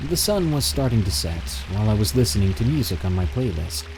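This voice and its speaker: A man reading submitted encounter stories aloud in steady, measured scripted narration. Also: fairly low pitch, soft background music, low-quality recording.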